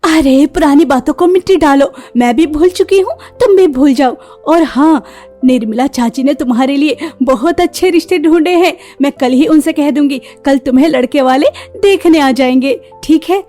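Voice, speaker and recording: Woman plays caring, humble cartoon sister-in-law, a young tailor; very high, earnest voice over music. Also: normal speed, dark tone, close to an everyday voice. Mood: warm, reassuring. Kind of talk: cartoon story dialogue. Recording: music behind the voice.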